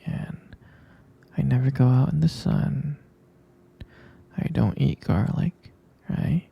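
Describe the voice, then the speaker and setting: A man in an ASMR roleplay as a tender vampire boyfriend, whispering soothingly and haltingly to help his partner sleep. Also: mid-range voice, gravelly, slightly rough, lo-fi sound. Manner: soft, halting, soothing whisper with murmured hums. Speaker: ASMR performer.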